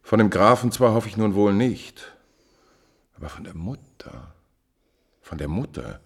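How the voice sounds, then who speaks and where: An actor playing a smooth, calculating marquis and court chamberlain with mocking courtesy in a mid-century radio drama. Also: male voice, on the low side, lively intonation, unhurried, full character voice. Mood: sly, calculating.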